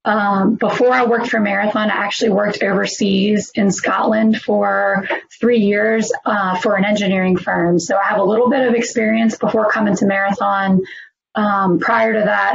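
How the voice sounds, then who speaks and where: A hoarse woman, introducing herself casually as an engineering supervisor, with many pauses and ums. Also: medium pitch, flat delivery, muffled recording, a little hiss or hum. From New Orleans, United States. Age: 40s. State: hoarse, losing her voice. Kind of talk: recruiting presentation self-introduction.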